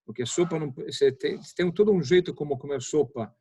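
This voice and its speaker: Higher-voiced rabbi, rambling and playful. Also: male voice, quick pace, warm tone, husky. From Italy. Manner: rambling, repeating phrases, playful. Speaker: rabbi being interviewed. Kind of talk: casual humorous interview answers. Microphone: video call.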